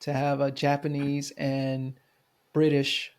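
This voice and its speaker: A man hosting an interview podcast, speaking slowly and haltingly, affirming his guest. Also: medium pitch, completely flat delivery. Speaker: podcast host. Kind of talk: conversational interview.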